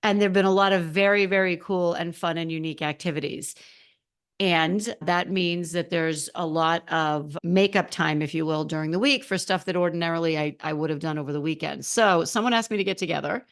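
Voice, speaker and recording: A middle-aged woman hosting a casual solo podcast, a little hoarse, chatty and animated, talking straight to her listeners as a coach. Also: lowish voice, brisk.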